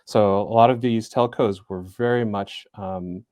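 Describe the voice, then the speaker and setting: A man in his forties, a guest in an interview, speaking thoughtfully and hesitantly with frequent fillers and soft laughs. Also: fairly low pitch, fairly even intonation, unhurried, no echo. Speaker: interview guest, business school center director. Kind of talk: casual interview talk.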